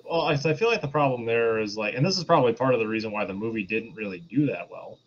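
A man's voice: mid-range voice, fast. A man co-hosting a casual film review podcast, explaining his points on a lo-fi recording. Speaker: podcast co-host. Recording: dull lo-fi sound, faint noise.

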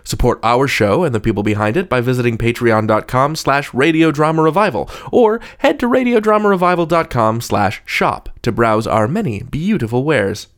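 A man's voice: mid-range voice, lively intonation, normal speed, few pauses. A man hosting a podcast, moving between friendly chat and scripted announcements. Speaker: podcast host.

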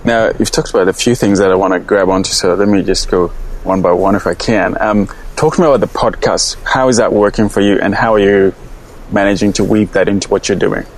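Man, low-pitched voice, podcast host, conversational, slightly hesitant questioning. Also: steady tone, brisk, poor audio. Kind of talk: conversational interviewing.

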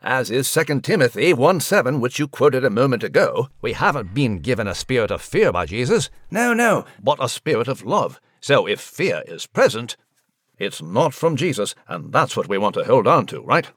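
A man's voice: medium pitch, big pitch swings, moderate pace, a bit bright. A man voicing a genteel, scholarly talking mouse who chats thoughtfully in old-fashioned, erudite phrases. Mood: pleased, appreciative. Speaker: co-host in character. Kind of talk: conversational devotional discussion in character.

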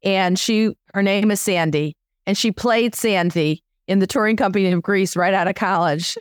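A woman's voice: mid-range voice, moderate pace, smooth, no echo, clean studio sound. A woman hosting a podcast interview, chatty and encouraging, often drifting into digressions. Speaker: podcast host. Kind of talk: casual interview chat.

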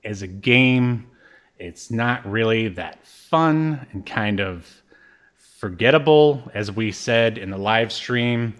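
A man's voice: mid-range voice, a bit bright. A man hosting a podcast in a casual, wry, joking way, reviewing games conversationally. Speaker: podcast host. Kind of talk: casual chat, game review.

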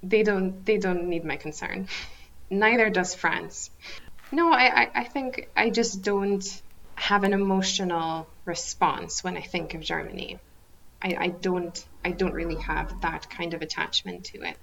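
Lo-fi woman in her thirties, thoughtful and halting. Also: lowish voice, creaky, fairly bright tone. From Kazakhstan. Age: 30s. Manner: thoughtful, hesitant with many ums and restarts. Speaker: podcast guest. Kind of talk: casual interview chat.

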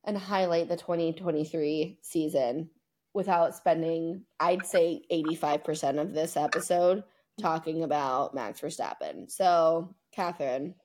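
A woman's voice: low-pitched, measured pace, darkish tone, slight background noise. A woman co-hosting a podcast, leading casual sports chat in a playfully exasperated, teasing way. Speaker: podcast co-host.

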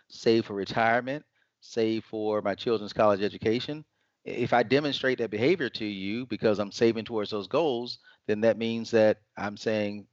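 A man, a financial advisor, giving measured, explanatory answers in an interview. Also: on the low side, no room sound. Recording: dry, dull lo-fi sound.

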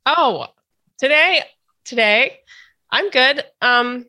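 A woman's voice: high-pitched, lively intonation, drawn-out words, sharp tone. A woman hosting a casual interview podcast, chatty and hesitant with many fillers and restarts, a little stuffy from a cold. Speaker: podcast host.

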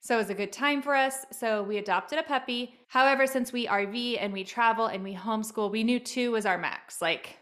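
A woman's voice: on the high side, fairly quick, few pauses, a bit bright. A woman in her thirties co-hosting a podcast, telling a story in an agreeable, chatty way. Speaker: podcast co-host. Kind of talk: casual chat.

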